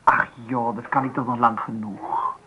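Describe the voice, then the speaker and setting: An actress playing a chatty, blunt young sister-in-law in a radio play, inquisitive and skeptical. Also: female voice, very deep voice, steady tone, moderate pace. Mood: reassuring. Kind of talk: Dutch radio play acting. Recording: tinny, slightly noisy old radio recording.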